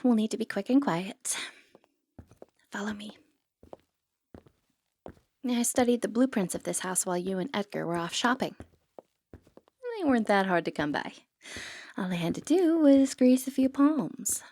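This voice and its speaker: A woman playing a sly, confident, flirty thief in a fantasy roleplay, playful and cheeky with breathy asides. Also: mid-range voice, expressive, measured pace, long pauses, darkish tone. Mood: sly, hushed. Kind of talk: scripted fantasy ASMR roleplay, addressing the listener.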